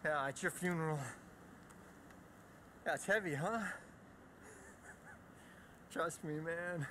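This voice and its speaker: An actor playing an eccentric, broody middle-aged man in a video game, earnest and insistent, muttering in halting phrases. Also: fairly high pitch, bright, forceful tone, a little hiss or hum. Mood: ominous, earnest. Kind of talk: video game cutscene acting.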